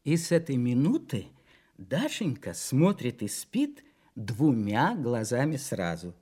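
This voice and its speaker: A man's voice: medium pitch, a little mellow. A man reading a children's story expressively, in a playful, theatrical manner with conversational asides to the listeners.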